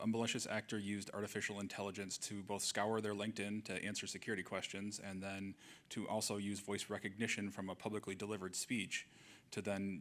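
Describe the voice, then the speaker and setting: Male panelist, low and level voice, brisk, handheld mic on stage. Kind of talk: panel discussion. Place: event hall, on stage.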